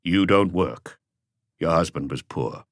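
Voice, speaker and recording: A man playing a patient male police inspector who asks measured, pressing questions in an audiobook. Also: deep voice, animated, no echo, studio quality. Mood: patient, probing. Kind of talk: audiobook character voice.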